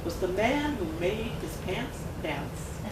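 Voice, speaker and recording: A woman author giving a dramatic, expressive read-aloud of a rhyming picture book at a gallery event. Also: medium pitch, takes it slowly. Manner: expressive rhyming delivery with bouncy emphasis. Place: art gallery event room. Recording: echoey room, distant, noisy.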